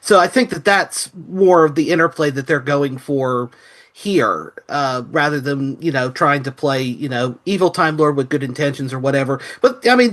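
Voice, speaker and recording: A man's voice: medium pitch, fairly bright tone. A man speaking as a podcast panelist, explaining references in a casual, detailed, analytical way. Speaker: podcast panelist reviewing audio drama.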